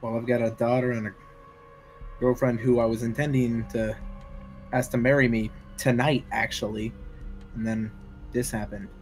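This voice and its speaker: A man's voice: medium pitch, level tone, moderate pace, pauses often, faint music behind, natural voice, poor audio. A man playing a protective, plain-spoken young father in a tabletop roleplaying game. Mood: earnest.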